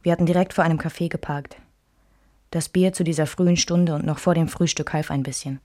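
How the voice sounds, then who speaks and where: A woman playing a wistful memoirist who recalls her marriage in measured radio drama narration. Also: low-pitched, steady tone, a little mellow, natural voice. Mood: subdued, wistful.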